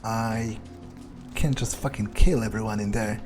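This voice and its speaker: A man's voice: fairly low pitch, steady tone, unhurried, over music. Blunt, dry-voiced male gamer in character. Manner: dry, blunt and laconic, with cursing. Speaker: gamer roleplaying his character. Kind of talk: in-character game roleplay commentary.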